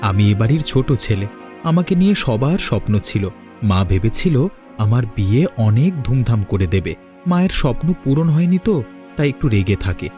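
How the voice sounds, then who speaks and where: A man playing a mellow, consoling young husband. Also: mid-range voice, sing-song, fairly quick, faint music behind, lightly acted. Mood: reassuring. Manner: consoling, earnest. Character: caring young husband, calm and reassuring younger son. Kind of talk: cartoon voice acting.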